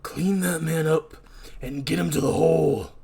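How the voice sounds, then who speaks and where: A performer voicing a stern, authoritative male prison warden with slow, commanding delivery in a comic read-through. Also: medium pitch, steady tone, creaky, warm tone, breathy edge.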